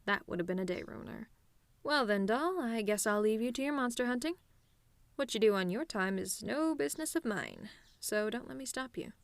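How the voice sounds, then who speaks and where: A woman's voice: on the high side, lively intonation, moderate pace, no echo. A woman playing a cocky, sarcastic tomboy demon with a casual, teasing delivery in an audio roleplay. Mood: exasperated. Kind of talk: ASMR-style scripted roleplay monologue.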